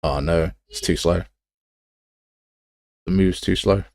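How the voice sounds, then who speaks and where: A man with a very low, creaky voice, recorded close and dry. He talks casually as a livestream host, thinking aloud while he works. His delivery is informal and rambling, with a self-deprecating streak. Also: fairly even intonation, slow pace, darkish tone, no echo. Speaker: game development livestream host.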